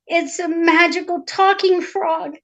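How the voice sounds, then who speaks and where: A woman's voice: very high-pitched, steady tone, slow, a bit bright, muffled recording, voice pushed up, heavily acted. A woman voicing a needy, dramatic young princess in a fairy tale, insistent and pleading. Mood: confessing. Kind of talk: live storytelling of a fairy tale.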